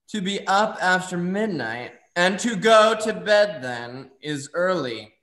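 A man's voice: fairly high pitch, fairly bright tone. A man playing a boisterous, merry drinking knight in a pompous, declamatory way for an online play reading. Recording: echoey room over video call.

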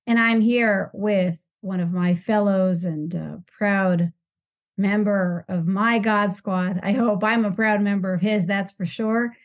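A woman's voice: medium pitch, steady tone, takes it slowly, muffled recording. Upbeat woman hosting a podcast. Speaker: podcast host. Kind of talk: warm welcoming introduction over video call. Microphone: video call.

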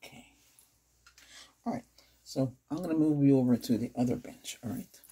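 A middle-aged man doing an ASMR doctor roleplay, giving short questions and instructions to a patient, with loud noise and clicks behind him. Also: mid-range voice, moderate pace, husky. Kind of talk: ASMR medical exam roleplay.

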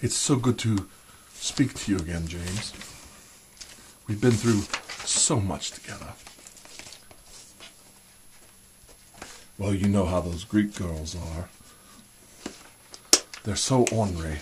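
A man's voice: fairly low pitch, background noise, natural voice. A man playing a chummy, genteel American spy gadget supplier disguised with a put-on British accent, reminiscing in old-fashioned phrases in an improvised roleplay. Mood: chummy, fond. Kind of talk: improvised one-sided spy roleplay, addressed to the listener.

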